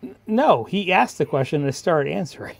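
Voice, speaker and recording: A man's voice: on the high side, sing-song, brisk, creaky. A man in casual conversation on an online livestream panel.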